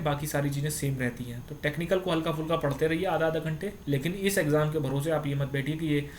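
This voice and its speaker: A man's voice: on the high side, a little hiss or hum. A man giving an informal explainer to students in a conversational way, checking that they follow. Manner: conversational, repeats tag words to check understanding. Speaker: YouTube exam-update presenter.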